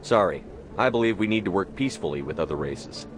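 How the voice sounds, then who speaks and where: An actor playing a hard, resolute military commander in a sci-fi video game, terse and blunt. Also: male voice, low-pitched, animated, fast, slight background noise. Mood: determined. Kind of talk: dramatic video game acting.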